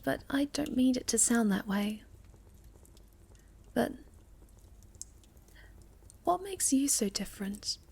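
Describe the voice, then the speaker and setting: A woman playing a sweet, shy, gentle moth-girl monster in a soft, halting ASMR roleplay, often slipping toward a whisper. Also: a little high, breathy edge, exaggerated voice. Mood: earnest, timid.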